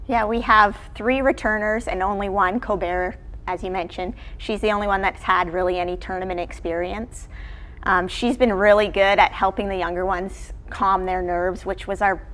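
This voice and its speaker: A woman's voice: medium pitch, fairly even intonation, normal speed, a bit bright. A woman who coaches college sport, answering interview questions in a casual, conversational way. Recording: clearly noisy background ambience.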